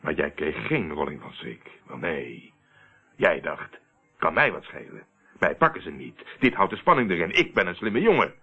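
An actor playing a steady, probing man who confronts a murderer in a radio play, speaking in a deliberate, controlled, accusing way. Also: sits low, creaky, husky, full character voice. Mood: hard, confident. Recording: tinny, narrow-band old radio recording.

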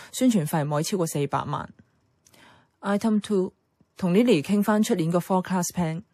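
A woman's voice: on the low side, a little mellow, dry recording, natural voice. A woman with a Hong Kong Cantonese accent playing a guarded, blunt young office worker in a radio drama, speaking in a dry, matter-of-fact way. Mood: businesslike. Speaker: radio drama actress and first-person narrator. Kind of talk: radio drama narration and dialogue. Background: background music.

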